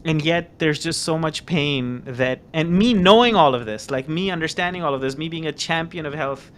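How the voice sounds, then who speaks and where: A man hosting a podcast interview, speaking in an animated, halting way and often repeating words. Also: fairly high pitch, a little hiss or hum. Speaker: podcast host. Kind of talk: conversational interview.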